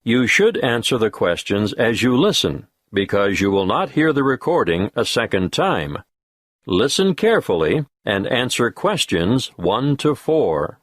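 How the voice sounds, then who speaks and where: A man reading exam instructions as a narrator in a formal, deliberate announcer style. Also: medium pitch, sing-song, no room sound, clean studio sound.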